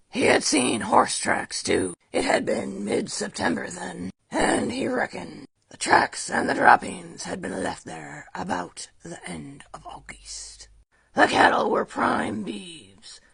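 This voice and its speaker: Gravelly, lively storytime reader. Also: female voice, medium pitch. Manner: chatty, breaks off to laugh and comment on the text. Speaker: storytime host. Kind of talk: casual read-aloud storytelling.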